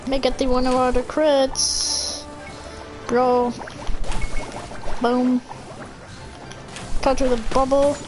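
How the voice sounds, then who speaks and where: High-voiced, playful woman gamer, music behind. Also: little pitch movement, very slow, long pauses. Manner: playful, chatty, slangy. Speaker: video game streamer. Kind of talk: casual gameplay commentary.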